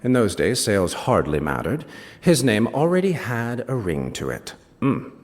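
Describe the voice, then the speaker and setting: An actor playing a wry male British novelist, reading a first-person literary story in an expressive, savouring way with small asides. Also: on the low side, normal speed. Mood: fond, wry. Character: wry male British novelist recounting a rivalry with his friend. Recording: reverberant hall, stage reading.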